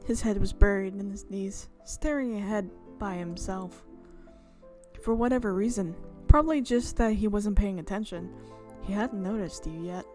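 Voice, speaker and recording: A woman's voice: medium pitch, normal speed, mellow. A woman game streamer reading visual novel narration aloud, breaking off into chatty, exclamatory asides. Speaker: gaming channel host. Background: game music.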